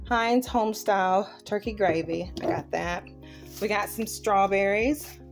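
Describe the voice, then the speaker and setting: A woman's voice: mid-range voice, measured pace. A woman vlogger in her home kitchen, casually and informally listing items to viewers as she shows them. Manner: informal, colloquial grammar, itemizing. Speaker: vlogger showing a grocery haul. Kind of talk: casual chat to viewers, product show-and-tell. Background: music.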